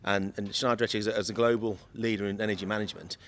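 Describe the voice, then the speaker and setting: A man in his late forties with a slightly croaky voice, chatting as a guest on a podcast and often repeating words while he searches for them. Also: fairly low pitch, flat delivery, racing, a bit bright, slight background noise. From United Kingdom. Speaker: guest from an electrical equipment maker. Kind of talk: casual podcast chat.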